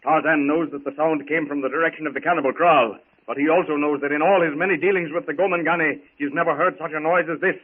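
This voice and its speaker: Dramatic 1930s serial narrator, man, raised pitch, florid storytelling, thin phone-like old recording. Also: steady tone, fast talker, hardly any pauses. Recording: tinny, hissy 1930s radio recording.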